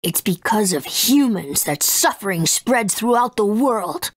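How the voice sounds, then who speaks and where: A woman's voice: medium pitch, lively intonation, a little slow, no echo. A woman playing a haughty, bitter ruler of darkness in a video game, speaking in an imperious, theatrical way.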